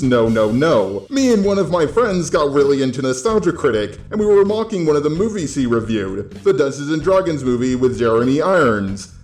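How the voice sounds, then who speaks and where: A man's voice: mid-range voice, darkish tone. American guy doing a scripted opinion rant, blunt and sweary, echoey room w faint music. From California, United States. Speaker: YouTube host with a robot avatar. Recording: roomy echo, faint music bed.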